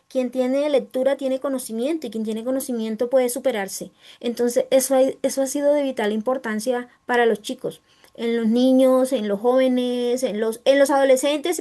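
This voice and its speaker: A woman writer with a Colombian Caribbean coast accent, answering interview questions haltingly as she searches for words. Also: on the high side, warm tone. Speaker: guest writer.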